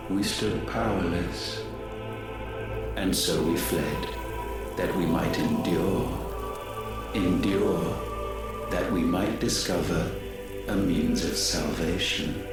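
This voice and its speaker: An actor playing an ancient godlike being in a video game, grave and condescending, speaking in stately, archaic phrases. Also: male voice, deep voice, slow pace, pauses often. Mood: solemn. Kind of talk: solemn theatrical video game monologue. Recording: cavernous echo under loud music.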